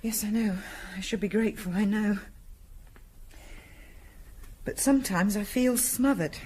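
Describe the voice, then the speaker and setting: An actress playing a tense, suspicious wife in a 1970s radio drama, pointed and insistent, repeating herself. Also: female voice, medium pitch, measured pace, dark tone, a little hiss or hum, close to an everyday voice. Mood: agitated, insistent.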